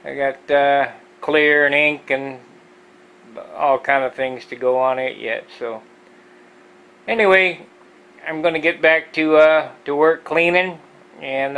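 A man with a somewhat high voice rambles through a tour of his cluttered hobby shop, stopping often on fillers and clearing his throat. He films it himself, so his voice comes through the camera's own microphone indoors. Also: a bit bright, rough recording. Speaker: model airplane builder, channel host. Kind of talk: casual shop tour vlog.

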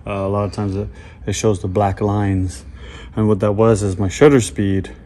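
A man's voice: on the low side, little pitch movement, normal speed, dark tone, a little hiss or hum. A man in his thirties explaining things casually and off the cuff as a videographer. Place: large indoor church hall.

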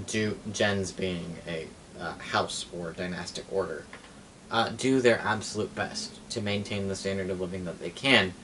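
A young man casually explaining things like a storyteller, halting and correcting himself as he goes, picked up by a camera across a room. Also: low voice, a bit bright, slight background noise, rough recording. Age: young adult. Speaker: storyteller and game master. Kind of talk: casual rambling explanation of fantasy game lore. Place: small cluttered living room, indoors.

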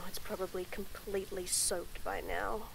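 A young woman voicing a shy, nervous tomboy friend in a romantic roleplay audio, stammering, giggling and speaking softly. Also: on the low side, level tone, slow, gravelly, noisy background. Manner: stammering, giggly, soft-spoken. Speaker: voice actor.

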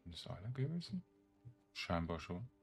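A man giving casual gameplay commentary, thinking aloud in short, halting phrases. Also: low-pitched, big pitch swings, husky, faint music behind. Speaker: let's play streamer.

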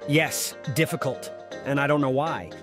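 A man's voice: medium pitch, a little slow, music in the background, lightly acted. A man voicing an earnest, friendly teenage pterodactyl student in a video game, a little hesitant. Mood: troubled, earnest. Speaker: voice actor. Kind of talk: visual novel fan voice acting.

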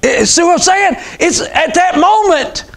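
A man in his sixties preaches in a strikingly high voice. His delivery is fervent and informal, like an unscripted church sermon. He repeats key phrases for emphasis and puts questions to his congregation. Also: animated, unhurried, vocal fry, a bit bright. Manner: emphatic, repetitive, calls out amens and rhetorical questions. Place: small church sanctuary, indoors. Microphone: clip-on lapel mic.

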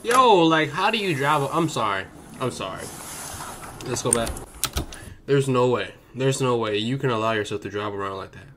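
A man's voice: medium pitch, lively intonation, moderate pace, background noise. A young man reacting to a video from his bedroom, joking and slangy, speaking into a headset mic. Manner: joking, slangy, talks to viewers as "bro". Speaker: reaction streamer. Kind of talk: casual reaction commentary. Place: bedroom streaming setup.

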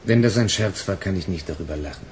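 A man's voice: low voice, fast, darkish tone, a little hiss or hum, natural voice. A man playing a cynical, cold-blooded bounty hunter in a German radio drama, speaking in a dry, blunt, controlled way.

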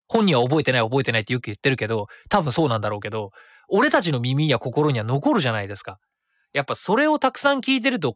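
A man's voice: mid-range voice, fairly bright tone, no echo, clean studio sound. A man hosting a solo radio show in casual talk, chatty and rambling, thinking aloud and correcting himself as he goes. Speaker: radio host. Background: background music.

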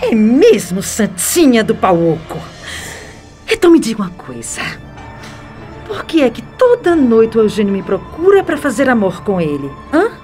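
A venomous, domineering schemer with a high Brazilian voice that swoops wildly. She is mocking and emphatic, in radio soap style, over a music bed. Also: big pitch swings, moderate pace, a little mellow.

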